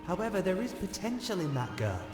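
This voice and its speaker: An actor playing a kindly, courteous gentleman in an animated-style game, speaking politely in measured, formal phrases. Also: sits high. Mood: pleading, diplomatic. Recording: echoey hall, music behind.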